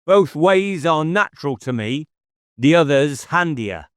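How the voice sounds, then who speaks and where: Sly, threatening woodsman, a male reader acting, well raised pitch, faint Cornish burr, taunting intensity, audiobook villain. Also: animated, measured pace, clear, resonant tone, no echo, clean studio sound. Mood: menacing, gloating. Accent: subtle Cornish burr. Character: menacing, sly male woodland murder suspect with bow. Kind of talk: audiobook character dialogue.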